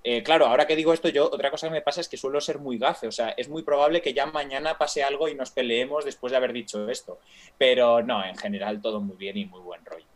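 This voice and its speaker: A man's voice: mid-range voice, steady tone, fast talker. A young man from Spain with a Peninsular Spanish accent, chatting as a guest YouTuber in a hesitant, friendly way full of fillers. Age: young adult. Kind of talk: casual live-stream chat.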